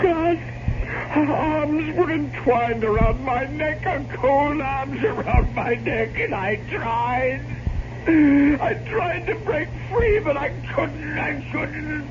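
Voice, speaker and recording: A man acting a terror-stricken, anguished mansion heir in an old-time radio drama, halting and repeating his words. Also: extremely high pitch, heavily acted. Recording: muffled, very noisy old radio recording.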